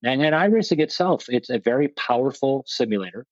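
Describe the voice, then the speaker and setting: A man's voice: medium pitch, animated, brisk, no room sound, highs cut off. A man being interviewed about his veterans program, speaking in a plainspoken, rambling, self-deprecating way with frequent restarts. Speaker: guest, veterans motorsport program leader. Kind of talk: conversational interview.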